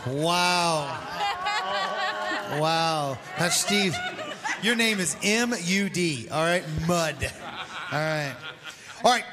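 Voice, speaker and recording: A man's voice: fairly high pitch, slow, long pauses, crowd noise. A man in his forties with a slightly raised voice leads a church group, loose and teasing, full of ums. He talks into a handheld mic. A long reverb trails behind him. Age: 40s. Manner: relaxed, joking, many fillers. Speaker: pastor. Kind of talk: leading a group debrief.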